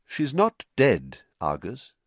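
A man voicing a calm, authoritative elderly wizard headmaster in an audiobook, speaking softly but firmly. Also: lowish voice, measured pace, dry recording, exaggerated voice. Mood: gentle, grave. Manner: soft but firm. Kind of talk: audiobook character voice.

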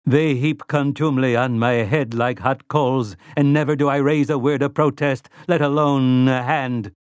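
A man's voice: medium pitch, steady tone, moderate pace, clean tone, no room sound, voice pushed up, close to an everyday voice. A man voicing a complacent, prissy male servant robot in an audiobook, with smug solicitude and silky menace. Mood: bitter, aggrieved.

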